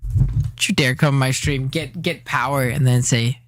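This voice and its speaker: A man's voice: fairly even intonation, moderate pace. Rambling young man live-streaming. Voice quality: high-pitched, not deep. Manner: rambling, self-deprecating, teasing chat. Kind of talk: casual live-stream reaction chat.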